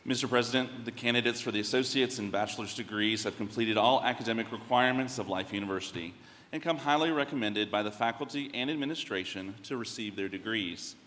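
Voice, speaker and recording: Low-voiced man giving a formal ceremonial address. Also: a bit bright. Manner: formal, measured. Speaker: academic dean presenting candidates. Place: large event hall. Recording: long hall reverb, faint background noise.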